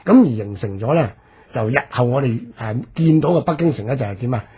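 A man with a Hong Kong Cantonese accent explains history off the cuff as a commentator, halting and drawing out his particles. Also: mid-range voice, animated, dark tone, slightly rough. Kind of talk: unscripted explanatory discussion.

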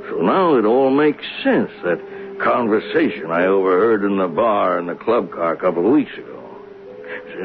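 An actor playing a talkative train conductor who recounts a murder in a folksy, musing way for a 1970s radio drama. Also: male voice, low-pitched. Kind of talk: 1970s radio drama acting, first-person narration. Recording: noisy tinny narrow-band old radio recording.

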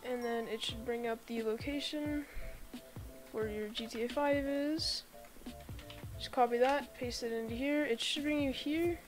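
A woman's voice: fairly high pitch, unhurried, faint music behind. A woman with a sick-sounding voice, chatting casually to viewers as she talks them step by step through a computer setup. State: sick, voice a bit off. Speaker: YouTube tutorial creator. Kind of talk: casual screen-recorded tutorial voice-over.

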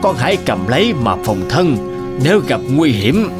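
An actor voicing an old, benevolent mountain god in an animated folk tale, speaking solemnly. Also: male voice, medium pitch, normal speed, loud music behind the voice, natural voice.

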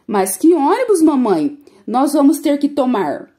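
A woman's voice: sits high, very animated, unhurried, warm tone. A woman voicing a small, curious little boy who asks eager questions in a storybook reading.

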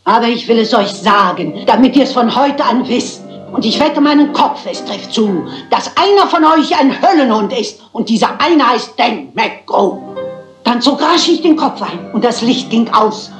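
An actress in a German crime drama playing a prim, plucky older spinster sleuth, speaking in a theatrical, articulate, dignified manner. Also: female voice, fairly high pitch, animated, moderate pace, echoey room, faint music behind, natural voice. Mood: dramatic reciting.

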